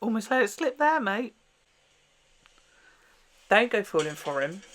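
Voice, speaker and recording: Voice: mid-range voice, sing-song, measured pace, a bit bright. A reaction host giving casual, chatty, exclamatory commentary on a show. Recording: TV show soundtrack playing behind.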